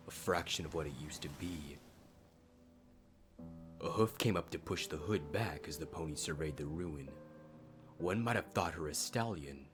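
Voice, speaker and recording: A man reading a dramatic scripted story as a fan fiction narrator. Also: extremely low pitch. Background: faint music.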